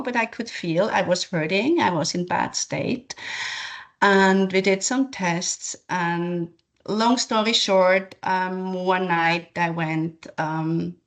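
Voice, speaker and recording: A woman from Switzerland, a guest telling her personal story in an unhurried way, with laughs and fillers. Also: medium pitch.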